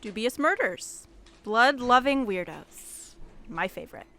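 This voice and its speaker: A woman's voice: a little high, animated, takes it slowly, sharp tone, heavily acted. A woman playing a wry, weary police detective in an audio drama, questioning in a dry, probing manner.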